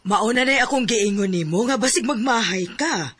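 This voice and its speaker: A woman acting a worried, gentle middle-aged mother advising her child in a Filipino radio drama. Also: medium pitch, lively intonation, normal speed, slight background noise, lightly acted. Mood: resigned, consoling. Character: worried, supportive, resigned mother of a young wife.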